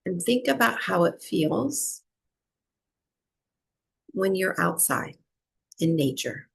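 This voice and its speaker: Woman leading a guided meditation, super slow with long pauses, bit husky and creaky. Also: on the low side, lively intonation. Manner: unhurried, with long pauses between short phrases. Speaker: breathwork and yoga nidra teacher.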